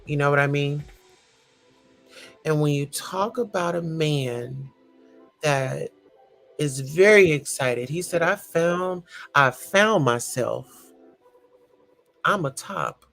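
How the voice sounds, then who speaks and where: A man commenting slowly and thoughtfully on a story, leaning on "you know" a lot. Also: on the high side, creaky, fairly bright tone, faint music behind. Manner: slow, hedging, repeats "you know". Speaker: podcast commentator. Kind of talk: reflective commentary.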